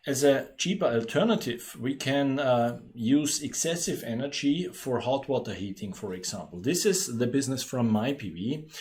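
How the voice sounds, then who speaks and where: A native German-speaking man with an Austrian accent, hosting a webinar and explaining things in a measured way. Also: medium pitch, animated, a little mellow. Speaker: webinar host, company presenter. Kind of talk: webinar presentation.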